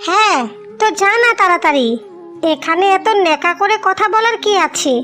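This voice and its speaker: A woman's voice: very high voice, moderate pace, bright, forceful tone, faint music behind. A woman voicing a scornful, bullying married village woman in a cartoon, scolding and sarcastic. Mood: disgusted, harsh. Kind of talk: dramatic cartoon voice acting.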